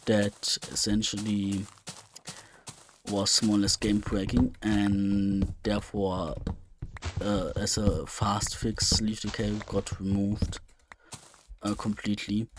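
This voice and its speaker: A man's voice: on the low side, a little hiss or hum. A man giving casual, unscripted gameplay commentary, hesitant and rambling as he thinks aloud. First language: German. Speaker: let's play host.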